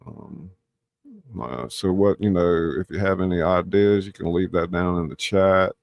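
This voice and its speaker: A man's voice: low-pitched, measured pace, creaky, dull audio. A man hosting a live reading, talking in a folksy way with plenty of y'all, moving between narration and chat. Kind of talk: warm-up and sign-off chat, scripted narration.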